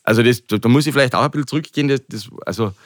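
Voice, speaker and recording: A man's voice: medium pitch, rapid-fire, dry recording, studio quality. A middle-aged man with an Austrian accent talking conversationally as an interview guest, often hesitating and repeating words as he searches for phrasing. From Carinthia, Austria.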